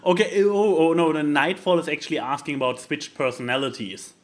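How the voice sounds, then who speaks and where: A man co-hosting a casual podcast, speaking haltingly and stammering as he searches for words. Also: a little high. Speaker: podcast co-host.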